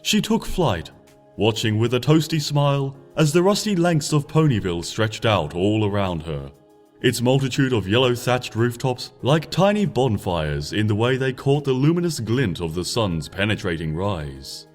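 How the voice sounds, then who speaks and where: A man reading a fan-written story aloud in a gentle, lilting storybook manner. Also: medium pitch. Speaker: narrator. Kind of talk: fanfiction audiobook narration. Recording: soft music bed behind.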